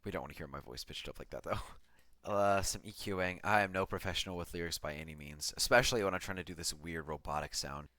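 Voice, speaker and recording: A man's voice: low voice, fast, hardly any pauses, pushed and bright, no echo. A man giving an offhand, self-deprecating commentary as he breaks down an electronic track he produced. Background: music from the track being played.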